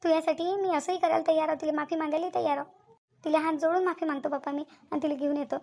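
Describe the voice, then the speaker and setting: Woman playing a fast, high-pitched fretful Varhadi mother. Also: steady tone, gravelly, a little mellow. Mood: anxious pleading. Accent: Varhadi Marathi from Vidarbha. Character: fretful, wheedling middle-aged mother of a grown son. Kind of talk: cartoon family drama dialogue.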